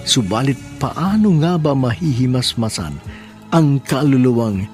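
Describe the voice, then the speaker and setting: A man's voice: medium pitch, animated, measured pace, a little mellow, soft background music. A man with a Filipino accent giving sentimental scripted narration for a radio drama.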